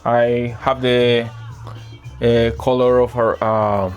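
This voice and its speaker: A man talks casually and earnestly about records as a guest selector. His voice sits at a middling pitch, and he often pauses to find the right word. Soft music plays quietly beneath him. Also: flat delivery. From Colombia. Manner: earnest, pauses to search for words. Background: faint music playing.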